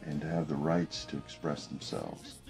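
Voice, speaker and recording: A man in late middle age, a business professor who grew up speaking French, talking thoughtfully in a documentary interview in his office, with many pauses and restarts. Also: very deep voice, fairly even intonation, gravelly, darkish tone, faint music behind. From United States. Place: office with desk and window.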